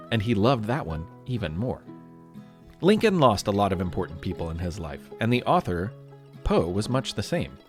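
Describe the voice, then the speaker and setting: Expressive American man hosting a podcast. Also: fairly low pitch. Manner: storyteller delivery addressed to young listeners. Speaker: podcast host. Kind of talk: scripted narration for a history podcast.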